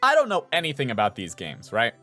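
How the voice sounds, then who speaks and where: A man's voice: mid-range voice, huge pitch swings, fairly quick, bright, forceful tone, faint music behind. A man giving casual let's play commentary, musing aloud in an informal way. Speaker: voice actor playing through a game.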